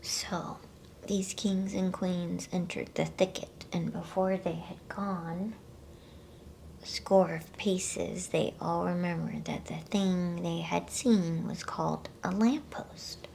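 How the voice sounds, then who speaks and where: Female ASMR storybook reader: hushed breathy whisper, very slow delivery, crackly fry, intimate close mic. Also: fairly low pitch, fairly even intonation. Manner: hushed, unhurried, with playful asides. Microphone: close microphone.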